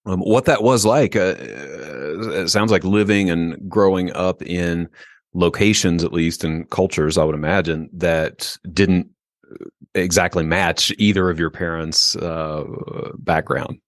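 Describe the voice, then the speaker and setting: A man's voice: deep voice, slow pace, no echo, clean studio sound. A man hosting a podcast, friendly and affirming, chatting casually with his guest. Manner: friendly, affirming, lots of mm-hmms. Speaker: podcast host. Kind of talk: casual interview chat. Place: remote from Colorado.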